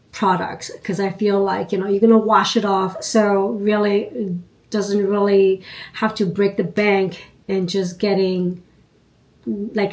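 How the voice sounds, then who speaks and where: A woman's voice: mid-range voice, a little mellow, poor audio. A woman in her fifties getting over a cold, nasal and congested, chatting casually to her viewers about her routine. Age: 50s. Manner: unhurried, chatty, frequent ums and you knows. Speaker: beauty vlogger. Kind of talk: casual chat to camera.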